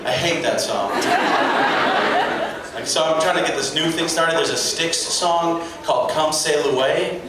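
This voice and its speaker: A young man from Chicago with a Chicago accent, performing an animated stand-up comedy set in a club. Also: measured pace, heavy background noise. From Chicago, United States. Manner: animated, jumps into high-pitched shouted voices for characters. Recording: roomy, distant camera recording with loud crowd. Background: audience laughter.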